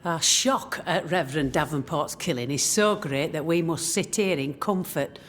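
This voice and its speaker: A woman's voice: sits low, moderate pace, a little hiss or hum, lightly acted. An actress playing a scornful, blunt woman who leads a colonial town and looks out for herself. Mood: scornful, impatient. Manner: sarcastic, blunt. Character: brusque, scornful, self-preserving female colonial town leader.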